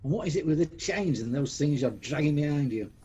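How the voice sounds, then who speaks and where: A man's voice: on the high side, animated, a little fast, warm tone, slight background noise, natural voice. A man acting a grumpy, sceptical old miser in a radio play, with a blustering, indignant, exclamatory delivery. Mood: anxious. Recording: dull lo-fi sound, music behind.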